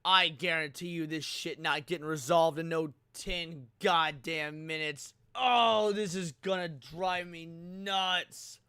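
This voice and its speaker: High-voiced man livestreaming, slangy and profane. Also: steady tone, slow pace, hardly any pauses, pushed and bright, smooth, dry recording, studio quality. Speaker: livestream host. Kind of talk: casual live reaction commentary to horror series.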